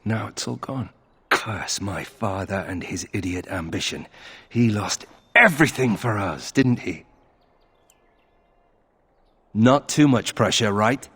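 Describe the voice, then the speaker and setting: Low, husky, brooding young nobleman. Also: slow, long pauses, a bit bright, full character voice. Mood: bitter regret, then wry musing. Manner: wry, rueful, conversational. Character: brooding young nobleman archer from a disgraced family. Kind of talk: video game character acting.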